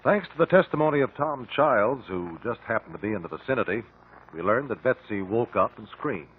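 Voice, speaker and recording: An actor playing a calm, precise American investigator in a radio drama, speaking formally and in measured phrases. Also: male voice, medium pitch, slightly rough. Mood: explanatory. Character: calm, precise male investigator piecing out truth. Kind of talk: 1950s radio drama acting. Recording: muffled 1950 radio recording.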